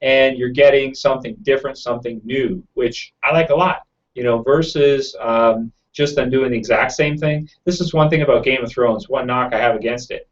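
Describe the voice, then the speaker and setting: A man talks fast and loosely from home, trailing into fillers as he answers viewers' questions. His voice sits at an average pitch and sounds a little raw. The audio is dull and lo-fi, like a home computer mic on a live stream. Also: steady tone. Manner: fast-talking, rambling with many fillers. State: slightly raw throat. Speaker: YouTube channel host.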